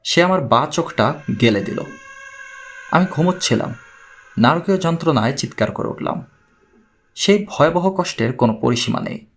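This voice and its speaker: A man playing a troubled, courteous man who gravely recounts his own strange story in an audiobook. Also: fairly high pitch, lively intonation, normal speed, pauses often, slight background noise. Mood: pained, restrained.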